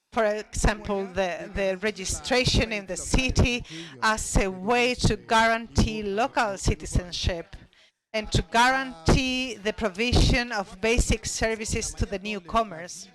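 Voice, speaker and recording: Brief: conference presenter, very slow and deliberate, halting with restarts, mid-pitched voice. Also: fairly bright tone, rough recording. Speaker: panel speaker presenting a study.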